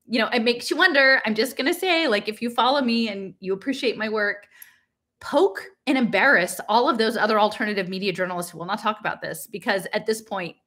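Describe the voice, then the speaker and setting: American woman, middle-aged, medium pitch, informal read-aloud host, conversational and emphatic delivery. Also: a bit bright. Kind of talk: casual talk and unrehearsed reading aloud from a book. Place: small living room at home, indoors.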